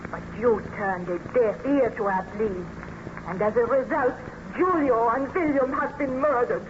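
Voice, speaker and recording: A woman's voice: a little high, measured pace, close to an everyday voice. An actress playing a passionate, reproachful young woman in love with a baron, in a melodramatic old-time radio drama. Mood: bitter, accusing. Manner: melodramatic, formal declamation. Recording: dull, noisy old radio recording.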